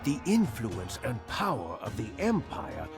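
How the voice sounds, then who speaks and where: A man narrating a historical strategy game in a measured, theatrical storytelling style. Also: mid-range voice, wildly swooping pitch. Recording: narration over a music bed. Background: background music.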